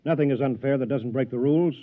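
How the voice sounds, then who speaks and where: A man's voice: mid-range voice, quick pace, lightly acted. An actor playing a smug, contemptuous wealthy man in 1950s radio drama style, scornful and lecturing. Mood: lecturing, proud. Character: smug, contemptuous wealthy male rival, coldly competitive. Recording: tinny vintage radio recording.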